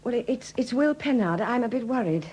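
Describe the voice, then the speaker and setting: An actress playing an anxious, apologetic woman who speaks haltingly in a 1970s radio drama. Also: on the high side, lively intonation, darkish tone, dull audio, a little hiss or hum, close to an everyday voice. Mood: worried, hesitant. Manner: hesitant, faltering, apologetic. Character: anxious, hesitant Welsh woman, troubled and polite.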